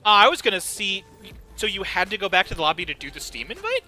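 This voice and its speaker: A man's voice: high voice, quick pace, soft background music. A man with a Connecticut accent streaming a game with friends, joking and laughing often. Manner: excitable, laughs often, jokey. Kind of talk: casual gaming stream chat.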